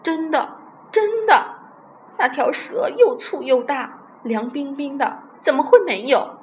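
A woman's voice: high voice, expressive, a little hiss or hum, voice pushed up. A woman voicing a short, timid man in a folk tale, stammering in panic and repeating his words. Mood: panicked, trembling. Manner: halting, breaks and repeats words.

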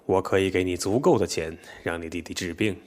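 A man playing a mature, predatory mayor in an audio drama, speaking in a measured, insinuating way. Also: low voice, darkish tone, close to an everyday voice. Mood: smug, coercive. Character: predatory mayor, mature man, coldly propositioning a young woman. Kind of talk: Chinese audio drama acting.

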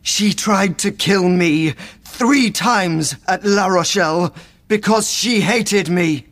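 A woman's voice: medium pitch, slow. Woman doing a earnest young musketeer. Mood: accusing. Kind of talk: audiobook character voice.

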